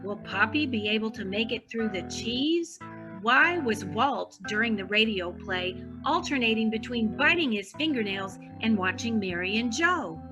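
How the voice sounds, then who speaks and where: A woman's voice: a little high, animated, unhurried, over music, poor audio. A woman playing a self-important radio announcer reading a theatrical scripted teaser. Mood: grand, teasing.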